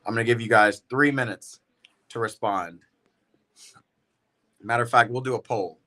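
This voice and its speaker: A man's voice: mid-range voice, steady tone, normal speed, sharp tone. A man hosting a football watch-party livestream, chatting casually and tiredly with viewers in slangy talk. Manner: slangy and laid-back, calls viewers bro and y'all.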